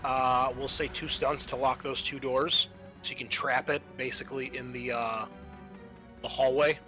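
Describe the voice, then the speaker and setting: A man with a bright, forward voice runs a tabletop roleplaying game as game master. He talks casually and loosely, describing moves and rulings, with faint music playing behind him. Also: mid-range voice, level tone, moderate pace.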